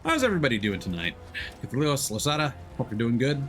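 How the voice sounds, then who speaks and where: A man's voice: medium pitch, huge pitch swings, fast talker, a bit bright, soft background music. A man doing casual, playful live commentary as he plays a game, full of exclamations and little muttered sound effects. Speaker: livestream gamer, host.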